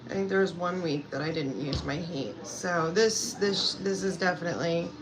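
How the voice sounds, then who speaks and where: A woman's voice: fairly low pitch, normal speed, noisy background. A woman from Pennsylvania chatting casually with viewers at home, rambling and hesitant with lots of fillers. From Pennsylvania, USA. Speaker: self-care coach and vlogger. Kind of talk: casual live chat answering viewers. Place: at home indoors. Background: background music.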